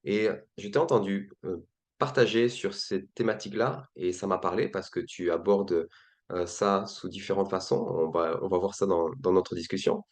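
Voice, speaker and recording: A man hosting a faith-based interview, speaking hesitantly with frequent pauses and fillers. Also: low-pitched, steady tone.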